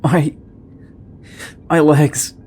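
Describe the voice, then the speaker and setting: A man playing a dazed, hesitant, injured young hero in an audio drama, speaking haltingly. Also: fairly high pitch, flat delivery, darkish tone. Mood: pained.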